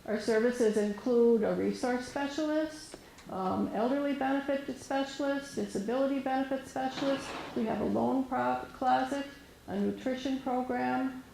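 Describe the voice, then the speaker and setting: A woman with a soft voice giving an informal presentation as a resource specialist, hesitant, with many fillers and restarts. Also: on the high side, gravelly, a little hiss or hum. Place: meeting room with an audience. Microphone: room microphone recorded for camera. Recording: roomy echo.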